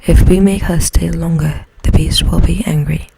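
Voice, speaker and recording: A woman voicing a scheming, jealous young fairy-tale sister in a sly, complaining, breathy whisper. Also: on the low side, fairly even intonation, moderate pace, background noise. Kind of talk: whispered bedtime storybook acting.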